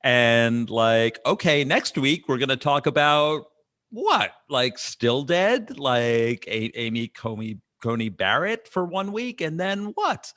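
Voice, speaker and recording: A man co-hosting a podcast in casual political banter, with a sarcastic, incredulous and animated manner. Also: mid-range voice, slow, no echo, clean studio sound. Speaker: podcast co-host.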